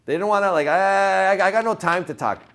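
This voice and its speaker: A man's voice: sits high, a little fast, a bit bright. A man giving an informal motivational sales presentation, repeating words for emphasis and putting questions to his listeners. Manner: informal, punchy, asks the audience questions. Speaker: sales trainer presenting to real estate agents.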